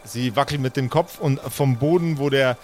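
A man's voice: mid-range voice, moderate pace, faint music behind. A man narrating and bantering as game master of an improvised tabletop game.

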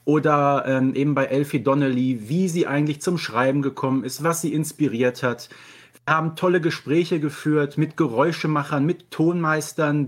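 A man talking casually and at length as a podcast guest, in an earnest, wordy manner. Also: a little high. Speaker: podcast guest, fan podcast co-host. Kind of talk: casual interview chat.

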